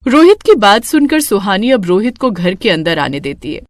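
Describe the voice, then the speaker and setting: A woman narrating a scripted moral story in an expressive storytelling style. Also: mid-range voice, normal speed, dry recording, clean studio sound.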